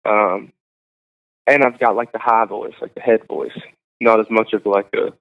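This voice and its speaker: A young man, a singer-songwriter guest, chatting casually in an interview, halting and full of fillers. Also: lowish voice, level tone, creaky. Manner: halting, full of likes and ums. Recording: tinny phone-like sound.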